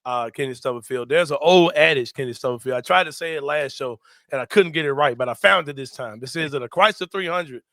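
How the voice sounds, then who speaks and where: Young guy hosting sports talk, bright tense voice, dry close sound, laughs a lot, says bro. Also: on the high side, quick pace, no echo. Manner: animated, slangy, laughs a lot. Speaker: sports talk show host. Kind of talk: casual sports chat.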